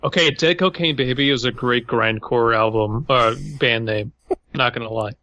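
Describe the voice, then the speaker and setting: A man's voice: medium pitch, moderate pace, bright, forceful tone, dry recording. A man co-hosting a comedy podcast in casual, profane banter, with a blunt, sarcastic manner. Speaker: podcast co-host.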